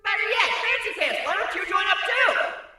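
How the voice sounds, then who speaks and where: A sarcastic male pony sidekick, put on by a man in a fantasy story. The voice is high and very sharp, with a mocking edge, drenched in echo. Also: animated, moderate pace, full character voice. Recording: very echoey, distant-sounding voice.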